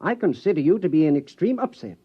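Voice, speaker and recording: An actor playing a calm, slightly officious director and concerned old friend in a 1950s radio drama, measured and tactful. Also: male voice, a little high, slightly rough. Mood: firm. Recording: dull 1950s radio recording.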